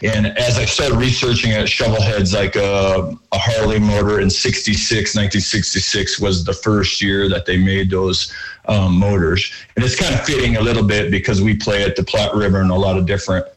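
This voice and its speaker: A man who sings in a rock band, talking casually in an interview with a lower voice and lots of fillers. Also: steady tone, normal speed, poor audio. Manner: rambling, chatty, many fillers. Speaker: band singer.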